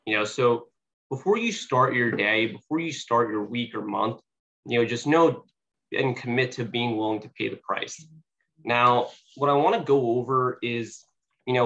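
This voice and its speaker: A young man giving a casual, bright-toned sales talk. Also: mid-range voice. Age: young adult. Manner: casual, many "you know" fillers. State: sniffly from allergies. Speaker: guest speaker at a sales meeting. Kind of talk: motivational speech. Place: meeting room. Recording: dull lo-fi recording.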